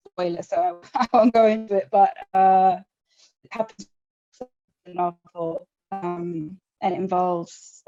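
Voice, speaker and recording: A woman author who reads from her novel in a measured way, then answers questions in halting, thoughtful speech. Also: fairly low pitch, mellow, muffled recording.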